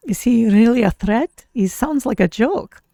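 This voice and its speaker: A streamer voicing an easygoing cat-folk adventurer in a fantasy game, measured and wry, with a creaky voice. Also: medium pitch, lively intonation, dark tone, dry recording, studio quality. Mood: wry, doubtful. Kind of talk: in-character game stream roleplay.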